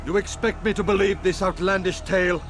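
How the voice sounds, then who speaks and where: A man's voice: high voice, steady tone, fairly quick, a bit bright. An actor playing a haughty, suspicious crusader king in a video game, imperious and commanding. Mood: scornful. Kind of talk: video game cutscene acting.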